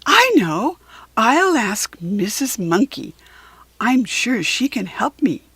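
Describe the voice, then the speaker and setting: A woman's voice: on the high side, very animated, slow, a bit bright, breathy edge, no echo, heavily acted. Pleading, tearful mother kangaroo voiced by a woman. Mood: hopeful, eager. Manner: pleading, earnest.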